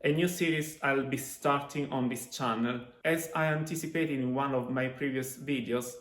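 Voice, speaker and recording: An Italian-native man speaks to camera in a mid-pitched voice for his language-learning channel. He talks deliberately and often stops on a drawn-out uh before going on. The room around him is echoey. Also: fairly even intonation, a bit bright. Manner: deliberate, with frequent uh pauses. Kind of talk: measured talk to camera.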